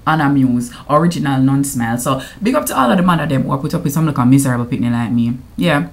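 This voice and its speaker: A woman's voice: rumbling low, lively intonation, quick pace, a little mellow. A woman in her thirties with a Jamaican accent, chatting to camera in a playful vlog and slipping into patois. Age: 30s. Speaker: vlogger. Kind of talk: casual anniversary vlog to camera.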